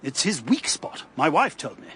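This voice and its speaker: A blustering upper-class English busybody in a radio play. His high voice leaps dramatically up and down as he frets and pontificates. Faint ambience sits behind it. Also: big pitch swings, moderate pace, bright, forceful tone, a little hiss or hum. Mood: exasperated. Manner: blustering, emphatic. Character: fussy, blustering upper-class political campaigner, easily alarmed.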